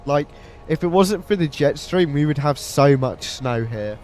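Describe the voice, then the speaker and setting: A man's voice: fairly high pitch, animated, a little slow, darkish tone, faint music behind. Man with a blocked-up nose. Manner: chatty, friendly, thanks viewers by name. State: stuffy nose from a cold. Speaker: flight simulator livestreamer. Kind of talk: casual livestream chat while flying.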